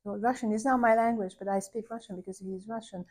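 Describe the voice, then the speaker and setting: Woman, soft thoughtful storytelling, lots of restarts and pauses, mid-pitched. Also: steady tone. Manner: hesitant, searching for words, many restarts; soft and contemplative, rising to warm emphasis. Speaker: podcast host recounting a visit. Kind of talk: reflective personal storytelling.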